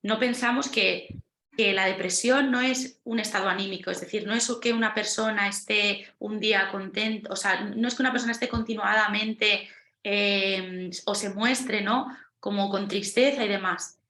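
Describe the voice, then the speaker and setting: A woman's voice: medium pitch, fairly even intonation, moderate pace, pushed and bright, roomy sound. A woman on a webinar panel explaining her points, often closing them with a questioning tag.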